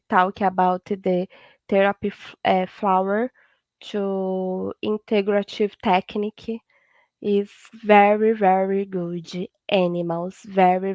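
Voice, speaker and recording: Brazilian woman vet as guest, slow, flat, halting second-language english, dry sound. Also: mid-range voice, no room sound. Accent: Brazilian Portuguese. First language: Portuguese. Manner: halting, repetitive non-native English with many fillers. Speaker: veterinarian guest. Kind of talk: informal conversation.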